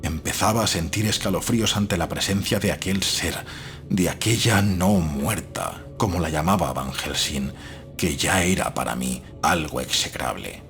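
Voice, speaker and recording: An actor playing a troubled, doubting man who narrates a gothic horror audiobook in a measured, literary and dramatic way. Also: deep voice, expressive, vocal fry, a bit bright, soft background music, full character voice. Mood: horrified, reflective.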